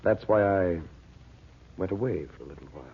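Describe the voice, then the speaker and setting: An actor playing a grave, resolute husband who knows of occult evil, speaking solemnly and insistently in a 1940s radio drama. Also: male voice, sits low, a little fast, breathy edge. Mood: grave, ominous. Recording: muffled old radio recording.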